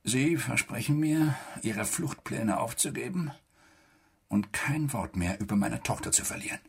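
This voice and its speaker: An actor playing a stern, threatening middle-aged army major in a German radio play, with a biting, insulting manner. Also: male voice, medium pitch, animated, a little slow, creaky, slightly rough, full character voice. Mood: bitter, restrained.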